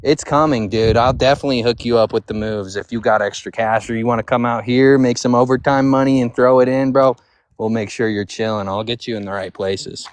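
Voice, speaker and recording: A man's voice: mid-range voice, steady tone, a little fast, clean tone, no room sound. A man chatting casually on a live stream as a panelist, full of bro-and-dude slang.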